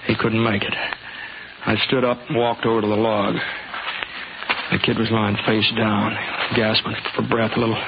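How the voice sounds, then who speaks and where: A man's voice: on the low side, little pitch movement, moderate pace, vocal fry, dull audio. An American man acting a laconic, folksy drifter gunslinger in a 1950s radio western, on a hissy old recording. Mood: tense, reflective. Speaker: lead actor and narrator.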